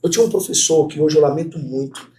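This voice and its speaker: A middle-aged Brazilian man, a guest professor, talks at a quick clip in a mellow, warm tone. His Brazilian Portuguese accent colours every story. He speaks animatedly and often turns to address the students directly. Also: a little high. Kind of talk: conversational interview storytelling.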